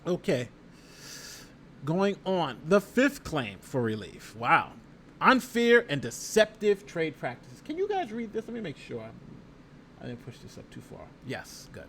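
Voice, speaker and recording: A man's voice: fairly high pitch, wildly swooping pitch, a little slow, fairly bright tone, a little hiss or hum. A man giving casual live legal commentary as a lawyer, reading a document aloud and reacting in an emphatic, conversational way, often repeating phrases. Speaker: lawyer hosting a livestream.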